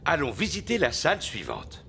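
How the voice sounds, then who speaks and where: A man's voice: on the high side, wildly swooping pitch, moderate pace, creaky, sharp tone, slight background noise, full character voice. A man voicing a jolly, easygoing, chuckling daddy pig in a preschool cartoon dub. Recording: music behind.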